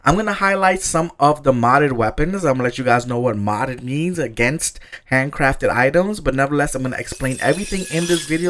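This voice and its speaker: An upbeat male gamer narrates a loot showcase in a fairly high voice. His throat is sore, so it comes out a little raspy. He talks in a friendly, salesy way and keeps thanking his viewers. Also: fairly bright tone, a little hiss or hum. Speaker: gaming YouTuber selling loot packages. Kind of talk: casual gameplay showcase commentary. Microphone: voice-over over gameplay.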